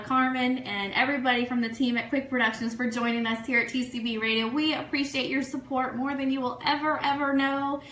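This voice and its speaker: A woman from the United States hosting a casual, chatty livestream radio show in a gushing, excitable way, full of thank-yous and shout-outs. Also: a little high. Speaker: online radio show host. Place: room, live social media stream. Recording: echoey room, faint noise.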